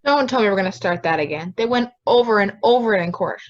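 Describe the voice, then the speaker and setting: A woman's voice: mid-range voice, lively intonation, fast talker, close to an everyday voice. A woman playing a stubborn, impatient juror, curt and dismissive, in an amateur audio drama recorded lo-fi.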